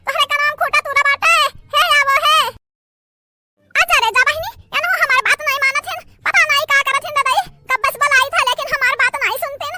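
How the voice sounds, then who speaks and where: A performer voicing a melodramatic cartoon village woman, scolding and pleading in a shrill childlike voice with an Awadhi accent. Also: fairly even intonation, a little fast, loud music behind the voice. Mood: fearful pleading.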